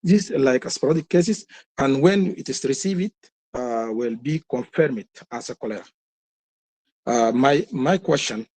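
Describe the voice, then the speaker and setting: A man with a Sudanese accent, a health worker speaking on a webinar, giving a halting, repetitive report that leads into questions. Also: mid-range voice, gravelly, a little mellow. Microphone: webinar call.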